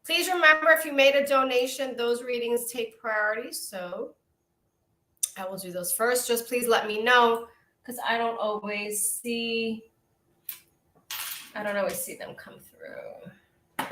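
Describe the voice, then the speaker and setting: A woman's voice: on the high side, steady tone, a little slow, long pauses, roomy sound. A middle-aged woman with a Brooklyn New York accent, chatting casually while giving oracle card readings on a live stream. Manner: chatty, warm greetings to viewers, frequent hearty laughs.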